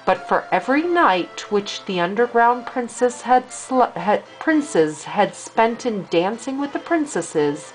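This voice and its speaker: An older woman reads a fairy tale aloud as a grandmother would to a child. Her voice is high and swings expressively through the sentences. Faint music sits behind the reading. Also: sing-song, takes it slowly, hardly any pauses, poor audio.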